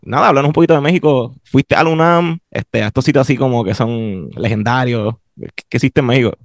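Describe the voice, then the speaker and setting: Man, Puerto Rican accent, podcast host, average pitch, informal conversational delivery, dry room-free sound. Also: fairly quick, gravelly, no room sound. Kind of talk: casual conversational interviewing.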